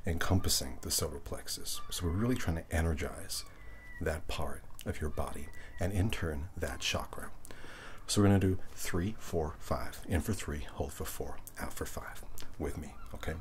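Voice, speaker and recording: A man with an extremely low voice murmurs softly and closely, as in an ASMR session. His delivery is gentle, unhurried and breathy, often dropping into a whisper, with a little ambient noise behind him. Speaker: ASMR reiki session host. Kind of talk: ASMR guided relaxation, speaking softly to the listener.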